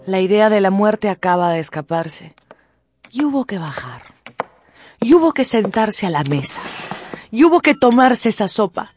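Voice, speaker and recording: A woman's voice: medium pitch, big pitch swings, normal speed, a little mellow. Woman narrating radio drama over music.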